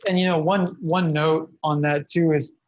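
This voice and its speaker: A man who is a guest, sharing lessons from building a product business. He speaks in a thoughtful, measured way and hedges with fillers. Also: fairly high pitch, fairly even intonation, dry recording, highs cut off. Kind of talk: casual interview talk.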